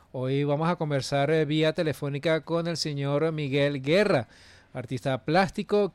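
A man's voice: a little high, smooth, no echo. A man hosting a radio news program, interviewing a guest in a polished, announcer-like way. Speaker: radio host.

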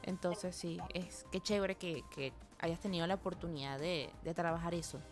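A woman's voice: fairly low pitch, fairly even intonation, normal speed, over music. Friendly woman hosting an interview. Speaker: host, interviewer. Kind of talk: radio interview.

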